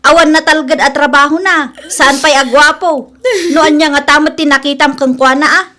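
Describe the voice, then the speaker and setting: Woman acting a high-voiced, blunt Ilocano best friend. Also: fairly even intonation, normal speed, sharp tone. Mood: exasperated scolding. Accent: Filipino, Ilocano. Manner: nagging, outspoken. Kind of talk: radio-style drama acting.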